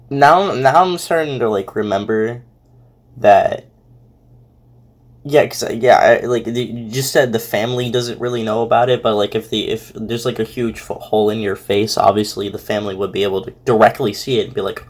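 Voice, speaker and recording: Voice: medium pitch, darkish tone. A commentator giving casual, rambling reactions, thinking aloud hesitantly in slangy everyday words.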